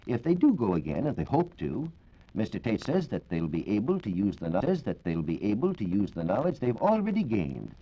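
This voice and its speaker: Low-pitched, lively American man on old radio. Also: fairly quick, husky. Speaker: science reporter. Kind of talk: 1940s radio science talk, explanatory. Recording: dull 1940s radio recording.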